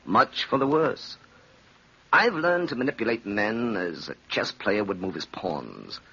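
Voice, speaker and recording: Gravelly, cold-blooded radio villain. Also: male voice, on the low side, big pitch swings, measured pace, pushed and bright, heavily acted. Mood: gloating. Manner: theatrical, grandiose, taunting. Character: cynical, cold-blooded wicked man relishing his evil. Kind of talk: old-time radio drama acting. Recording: dull, hissy old radio recording.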